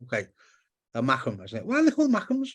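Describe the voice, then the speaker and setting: A man with a Scouse accent hosting a casual interview, chatty and encouraging with quick back-and-forth. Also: mid-range voice, huge pitch swings. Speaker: podcast host.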